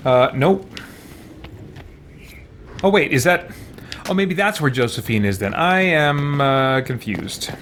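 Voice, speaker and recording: Man, casual game commentary, thinking aloud, lively pitch, light background noise. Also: slow pace, long pauses. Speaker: gaming video host.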